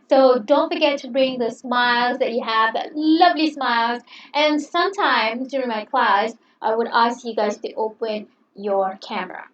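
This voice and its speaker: Woman teacher, non-native English, fairly high voice, encouraging and chatty, recorded in a roomy space with muffled sound. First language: not English. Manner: encouraging, chatty, repeats "okay". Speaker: English lecturer. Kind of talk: online class introduction. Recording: roomy, distant, dull sound.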